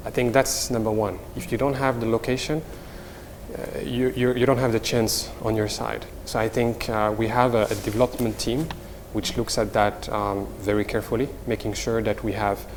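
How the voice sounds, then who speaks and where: A man's voice: lowish voice, flat delivery, moderate pace, long reverb tail. A middle-aged man with a Moroccan accent gives semi-formal interview answers, repeating words as he explains. His intonation stays fairly level, and his voice rings in a large room with faint background noise. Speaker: hotel company executive, interview guest.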